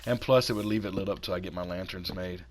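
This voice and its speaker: A man with a cold, hoarse and a little raspy, chatting casually while he builds in a video game and talks himself through each step. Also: deep voice, fast, noisy background. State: hoarse from sinus infection. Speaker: gaming channel host.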